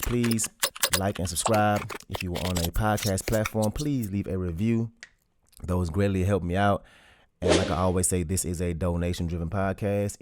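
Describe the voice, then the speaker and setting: Low-voiced man giving motivational talk. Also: heavy background noise. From Louisiana, US. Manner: earnest and direct, with plain colloquial speech. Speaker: podcast host.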